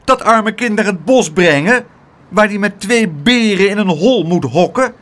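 A man's voice: sing-song, normal speed, a bit bright. Een man die een schelle, bazige, verontwaardigde tante voorleest in een kinderverhaal. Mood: shouting. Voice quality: high, scolding, shrill. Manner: indignant, demanding. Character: shrill bossy fussing aunt, middle-aged woman.

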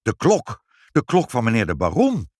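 A man voicing an excitable, accusing male busybody in a storybook, speaking in emphatic, agitated exclamations. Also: a little high, a little slow, no room sound, clean studio sound, pitched above the performer's own voice. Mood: breathless alarm. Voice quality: unpleasant, agitated voice. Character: excitable, triumphant, accusing nosy male neighbour.

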